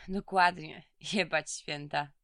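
A tough, weary female convict for a radio play. Her voice sits a little low and is recorded close and dry. She talks in blunt, streetwise slang. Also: steady tone, slow, a bit bright, no echo, full character voice. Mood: brash, sardonic. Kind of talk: audio drama acting.

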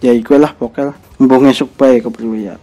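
A man's voice: medium pitch, steady tone, brisk, mellow. A young man from Cilacap in Central Java with a Banyumasan Ngapak Javanese accent, hosting a casual solo podcast in a rambling, colloquial, hesitant way. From Cilacap, Central Java, Indonesia. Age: young adult. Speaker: podcast host.

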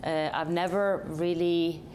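Slow, low-voiced woman from Norway. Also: steady tone, darkish tone, slight background noise. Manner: hesitant, many fillers and restarts. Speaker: company chief executive, interview guest. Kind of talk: reflective interview answers.

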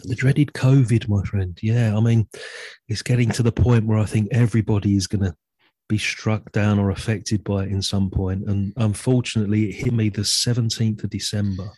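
A man's voice: fairly low pitch, steady tone, moderate pace, warm tone, husky, dry recording, poor audio. A man talking as a guest on a podcast, friendly and chatty, laughing easily. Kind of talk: casual podcast chat.

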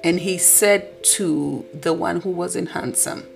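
A woman with a husky voice hosting a podcast, delivering an earnest, preachy motivational talk. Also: on the low side, slow, soft background music. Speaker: podcast host.

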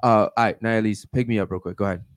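A man's voice: fairly even intonation, quick pace, dry recording, clean studio sound. A man hosting a podcast with casual, slangy banter, peppering his talk with bro and man. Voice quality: deep voice. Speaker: podcast host.

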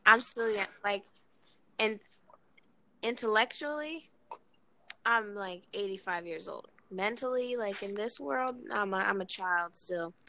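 Brash bright-voiced woman in her 20s, blunt, casual chat. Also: mid-range voice, unhurried, a little hiss or hum.

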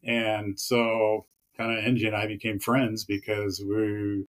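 A man with a fairly low voice talks loosely in an interview. He wanders through his points with lots of "you know" and false starts. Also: flat delivery, slow pace. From Pacific Northwest of the US. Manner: rambling, many fillers and restarts. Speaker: podcast guest, peakbagger. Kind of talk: casual interview chat.

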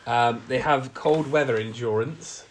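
A man's voice: mid-range voice, measured pace, slight background noise. A man with a blocked-up nose from a cold, casually recapping a film plot with many fillers. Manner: recounts plot with many fillers, acts out quotes. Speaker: podcast co-host.

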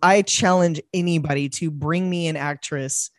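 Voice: mid-range voice, steady tone, moderate pace, dry recording, clean studio sound. A podcast co-host in casual film chat, rambling and laughing often. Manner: rambling, laughs often, acts out movie quotes.

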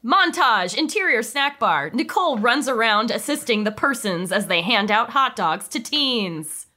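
High, bright, animated woman narrator. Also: unhurried. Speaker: narrator reading stage directions. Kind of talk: comedic script narration at a table read.

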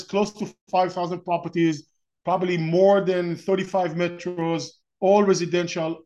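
A man's voice: a little high, a little mellow, highs cut off. A man in his forties from Israel with an Israeli accent, hosting an investing webinar in a rambling, explanatory way with frequent restarts. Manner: many restarts and fillers, explanatory. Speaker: webinar host. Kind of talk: webinar presentation.

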